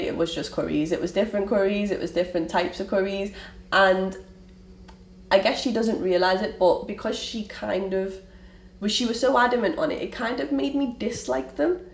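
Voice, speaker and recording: A woman's voice: medium pitch, steady tone, normal speed. A young woman with a Liverpool accent, candidly telling her family story in an interview, often restarting her sentences. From Liverpool, England. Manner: candid, rambling with frequent restarts. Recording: roomy, from a distance, faint ambient noise.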